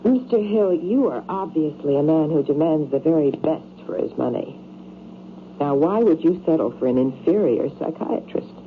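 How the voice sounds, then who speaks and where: An actress playing a cool, sceptical psychiatrist in an old-time radio drama, questioning in formal, precise phrases. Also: female voice, sits low, lively intonation, moderate pace. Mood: cool, challenging. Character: cool, probing female psychiatrist, composed and sceptical. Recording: tinny, noisy old radio broadcast.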